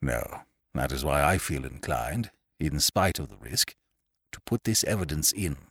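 Storybook role: elderly family lawyer played by a male narrator, courteous, fussy, formal, very lively intonation. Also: very deep voice, unhurried, gravelly, slightly rough, dry recording, clean studio sound, full character voice. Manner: formal, reproving, polite.

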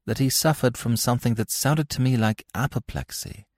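A man's voice: medium pitch, moderate pace, darkish tone, dry recording, studio quality, voice pushed up, natural voice. A man voicing an uneasy, respectful prison warder in an audiobook, hesitant but dogged. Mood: steadier, confiding. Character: uneasy, deferential male prison warder, ex-military.

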